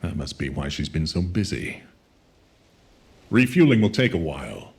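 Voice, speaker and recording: An actor playing a firm, authoritative military commander in a game cutscene, resolute yet caring. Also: male voice, sits low, sing-song, normal speed.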